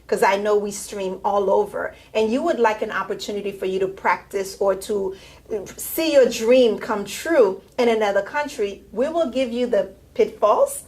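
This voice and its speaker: A woman's voice: medium pitch, a little mellow, rough recording. A woman hosting a talk show interview, playful and teasing as she questions her guest. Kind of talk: lively interview.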